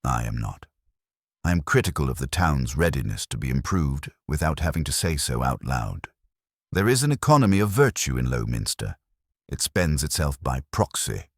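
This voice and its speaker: Man voicing a prim, very deep-voiced, dryly witty lady. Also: sing-song, breathy edge, no room sound, clean studio sound, full character voice. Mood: dry, critical. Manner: precise, measured, formal. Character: composed, dryly witty, prim older woman, a music-loving typist.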